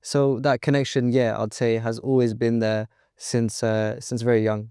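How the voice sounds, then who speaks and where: A man's voice: medium pitch, steady tone, a little fast, mellow, clean tone, dry recording, clean studio sound. A young man with a British accent, answering interview questions as a guest entrepreneur, hesitant with many fillers and restarts. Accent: British English. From United Kingdom. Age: young adult.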